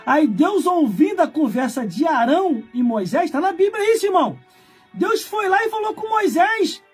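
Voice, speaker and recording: A man in his forties, a preacher from Brazil, delivering a fiery sermon with shouted, scolding emphasis and heavy repetition. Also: very high-pitched, big pitch swings, normal speed, soft background music. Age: 40s.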